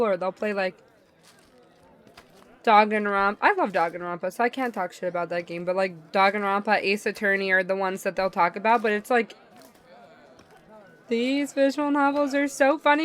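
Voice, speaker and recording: A young woman streaming a visual novel, chatting casually in an expressive, slangy way. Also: medium pitch. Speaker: game streamer. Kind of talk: casual chat while reading a visual novel.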